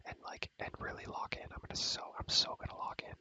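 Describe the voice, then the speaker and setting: A whispering ASMR creator giving a casual, slangy gaming tour, often repeating words and phrases in a rhythmic way. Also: quick pace. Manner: slangy, casual, mild swearing. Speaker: video creator giving a game world tour. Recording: music behind the whispering. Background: music.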